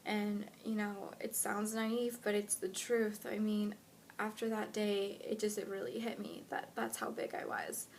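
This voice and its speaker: A woman's voice: medium pitch, measured pace. A woman of twenty-one with an American accent, chatting casually to followers as a fitness vlogger. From United States, lived in Honduras when younger. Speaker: fitness blogger introducing self to followers. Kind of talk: casual vlog chat answering questions. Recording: clear steady background noise.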